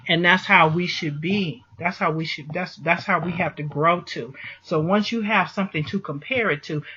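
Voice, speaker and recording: A man's voice: a little high, normal speed, bright, forceful tone, slightly rough, highs cut off. A man giving an impassioned, faith-filled motivational monologue as a vlogger, emphatic and repeating phrases to drive points home. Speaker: vlogger answering a listener.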